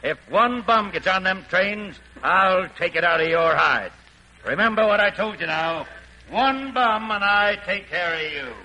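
Sharp, bullying rail-yard boss. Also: male voice, high voice, expressive, measured pace, heavily acted, low-quality recording. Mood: threatening. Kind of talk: 1940s radio acting. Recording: 1940s radio broadcast.

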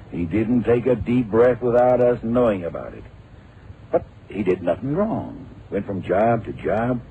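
An actor playing a brisk, authoritative agency chief in a radio drama, grave and dramatic in delivery. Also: male voice, on the low side. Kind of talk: 1960s-70s radio drama acting. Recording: narrow, noisy old radio recording.

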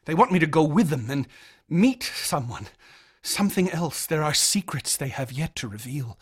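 Radio drama: young male student, haunted and obsessive, slightly high voice, intense, formally articulate. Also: very animated, normal speed, a little hiss or hum. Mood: fervent. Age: young adult.

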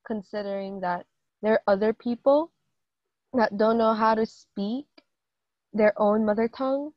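A young woman from Hong Kong chatting with her brother. She talks in an animated, exclamatory way with quick asides. The audio is a little dull and muffled, close and dry. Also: medium pitch, no room sound. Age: late teens. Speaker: co-host, sister. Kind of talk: casual chat.

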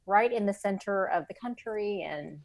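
A woman's voice: mid-range voice, normal speed, creaky, no room sound. A woman in her forties, a company founder, talking earnestly in an interview with many fillers. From Vietnam, raised in the United States. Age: 40s. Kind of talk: conversational interview.

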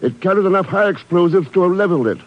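An actor playing a terse, determined secret agent in a 1950s radio spy drama. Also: male voice, high voice, lively intonation, very fast. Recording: dull vintage radio recording.

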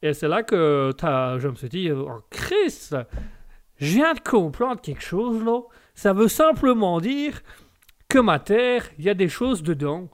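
A man's voice: sits high, very animated, measured pace. A man voicing a folksy Canadian gardener in a put-on Quebec French accent, drawling and peppering his talk with oaths and a trailing "là". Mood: earnest. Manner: drawling, tags sentences with "là", frequent oaths. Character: folksy Canadian man, self-taught gardener, cheerfully swearing. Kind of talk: comic improvised sketch.

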